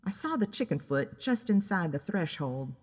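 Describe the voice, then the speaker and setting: A woman giving a deliberate, dramatic first-person narration of a scripted story. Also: lowish voice, sing-song, a little mellow, husky, long reverb tail.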